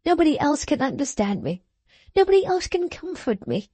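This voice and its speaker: A woman's voice: sits high, big pitch swings, moderate pace, warm tone, no echo, voice pushed up. A woman doing a weeping young woman pleading. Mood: tearful pleading. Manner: pleading, breathless. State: crying.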